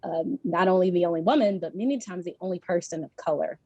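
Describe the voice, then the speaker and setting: A woman with a slightly low, warm, mellow voice speaks steadily and with conviction. The sound is dry and close, as on a video call. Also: fast talker, no echo, lo-fi sound. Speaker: panelist, Army National Guard servicemember. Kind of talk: panel discussion.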